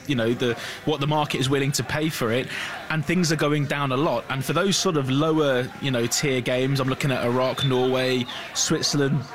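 A man's voice: mid-range voice, a bit bright. A man speaking as a business reporter in a live news discussion, conversational and often checking in with tag questions.

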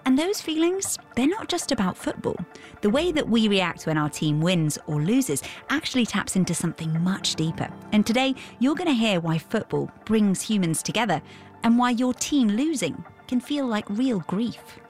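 A woman hosts a podcast, shifting between scripted narration and questions for a guest. Her voice sits at a middle pitch, with music playing behind it. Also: very animated, moderate pace, darkish tone. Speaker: podcast host.

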